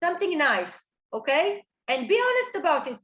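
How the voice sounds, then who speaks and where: High-voiced woman coaching job seekers. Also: sing-song, quick pace, fairly bright tone, echoey room, dull audio. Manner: direct, coaching, repeats "okay?". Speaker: career workshop instructor. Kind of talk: workshop talk to job seekers.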